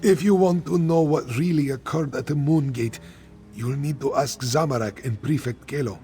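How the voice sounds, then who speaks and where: An actor playing a weary, grateful wounded soldier in a fantasy game, speaking in an earnest, measured way. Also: male voice, mid-range voice, dark tone, faint music behind, natural voice.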